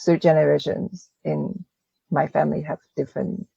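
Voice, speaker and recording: Gravelly woman, mellow voice. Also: deep voice, flat delivery, no echo, dull audio. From Taiwan. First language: Mandarin. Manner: halting, restarts phrases often. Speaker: guest writer. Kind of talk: casual interview chat.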